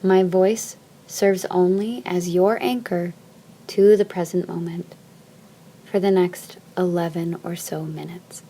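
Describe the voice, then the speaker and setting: Soft, mellow woman guiding deep relaxation. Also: steady tone. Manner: soft, soothing, measured phrasing with long pauses. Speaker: yoga nidra teacher. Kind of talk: guided meditation, slow instruction.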